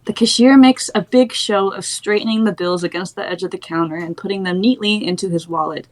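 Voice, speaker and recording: A woman's voice: mid-range voice, a little fast, rough recording. A young adult woman reading stage directions as the narrator of a screenplay.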